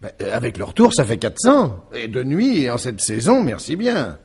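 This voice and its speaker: A man's voice: medium pitch, huge pitch swings, normal speed, gravelly, a little mellow, slightly rough, exaggerated voice. An actor in a French radio mystery drama playing a reluctant, irritable, evasive businessman who hesitates and grumbles. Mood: wary, irritated.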